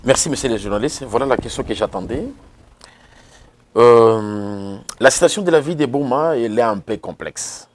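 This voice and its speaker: A man from Kongo Central with a Congolese accent, answering interview questions in a formal, solemn manner with filler pauses. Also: mid-range voice, slight background noise. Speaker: interview guest, association president.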